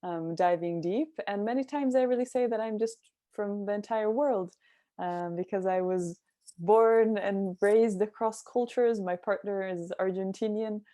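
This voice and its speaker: A woman's voice: medium pitch, a little slow, dark tone, no room sound, clean studio sound. A woman from Israel speaking as an interview guest, thoughtful and searching, with frequent ums and restarts. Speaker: guest, cultural mentor. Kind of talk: reflective interview talk.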